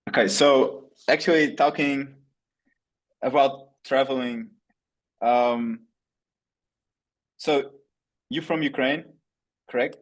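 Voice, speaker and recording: A man's voice: medium pitch, steady tone, slow pace, long pauses, low-quality recording. A man with a Portuguese accent interviewing a fellow musician in casual, hesitant, repetitive phrasing full of "like" and "yeah".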